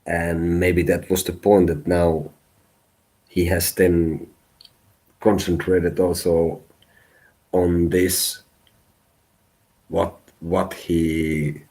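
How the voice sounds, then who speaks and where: A man from Finland whose first language is Finnish, giving a live tarot reading in halting English as he searches for words. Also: low-pitched, flat delivery, dark tone, poor audio. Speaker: tarot reader.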